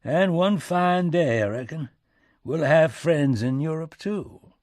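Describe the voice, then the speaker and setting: A man's voice: a little high, takes it slowly, a little mellow, dry recording, pitched above the performer's own voice. Audiobook role: weary, sardonic American president, folksy back-country twang, emphatic, very dry close sound male narrator acting it out. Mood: defiant, ironic.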